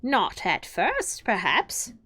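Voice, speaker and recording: A woman voicing an excitable, theatrical male hatter with a booming, drawn-out delivery. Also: slightly rough, exaggerated voice.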